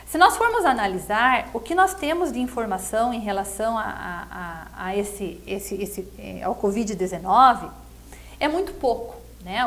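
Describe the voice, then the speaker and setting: A Brazilian woman with a distinctly high voice speaks as a guest commentator. She explains things earnestly and conversationally, often ending a point by seeking agreement. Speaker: interview guest, political commentator.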